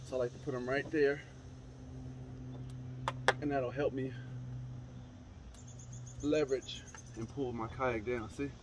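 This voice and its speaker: A young man talks viewers through a how-to in a relaxed, instructive way, speaking straight to them at an everyday mid pitch. He is outdoors in a suburban driveway, picked up by a camera mic that drifts nearer and farther, with a faint hiss of outdoor noise behind him. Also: takes it slowly, long pauses. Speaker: fishing channel host. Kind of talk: casual how-to demonstration. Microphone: camera mic, moving toward and away.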